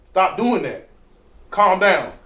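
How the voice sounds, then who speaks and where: A young man co-hosting a casual podcast chat, animated and quick with retorts, often laughing. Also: high voice. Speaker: podcast co-host.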